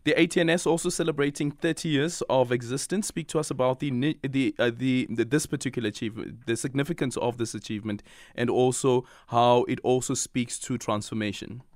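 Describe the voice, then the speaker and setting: A man's voice: medium pitch, steady tone, brisk, darkish tone, dry recording. South African male interviewer, dry close sound. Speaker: interviewer, host. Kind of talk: conversational broadcast interview questions.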